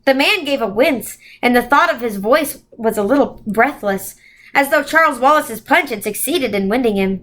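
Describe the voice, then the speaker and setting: A woman reading a children's novel aloud as scripted, expressive storybook narration. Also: a little high, normal speed. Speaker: volunteer storybook reader.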